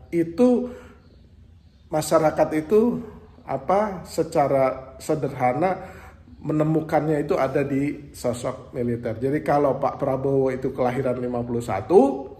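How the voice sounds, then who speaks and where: A man's voice: medium pitch, mellow, echoey room. A man hosting a solo political commentary, talking it through with frequent hesitations and fillers. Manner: thinking aloud with frequent fillers. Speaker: political commentary channel host.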